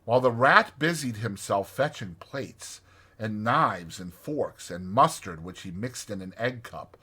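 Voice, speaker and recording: A man's voice: fairly low pitch, lively intonation, a little slow, pushed and bright, breathy edge. A man narrating a storybook as a dramatized audiobook.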